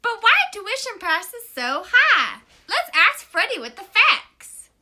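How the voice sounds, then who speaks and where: Woman as Tatiana, a piercingly high puppet presenter. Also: huge pitch swings, takes it slowly, pushed and bright, exaggerated voice. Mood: concerned, emphatic. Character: Tatiana, female puppet presenter, concerned and brisk. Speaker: host. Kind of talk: scripted puppet-show news presentation.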